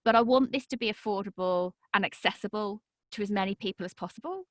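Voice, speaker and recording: A woman telling her story as a podcast guest, energetic, her voice swinging high and low as she talks. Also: fast talker, dry recording, clean studio sound. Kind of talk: energetic interview talk.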